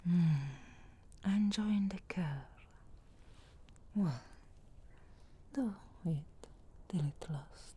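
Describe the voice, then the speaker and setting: A woman acts out a haughty young witch in a fantasy ASMR roleplay. Her voice is hushed and very breathy, mostly whispered, with a low, soft tone. She speaks extremely slowly, with deliberate pauses and musing hums, sarcastic yet grudgingly caring. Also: full character voice. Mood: teasing, tender.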